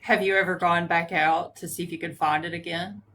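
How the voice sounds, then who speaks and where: Low-voiced woman hosting a live stream. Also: steady tone, fairly quick, a bit bright. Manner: reactive, asks follow-up questions. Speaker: host of a paranormal live stream. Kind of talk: casual live-stream chat.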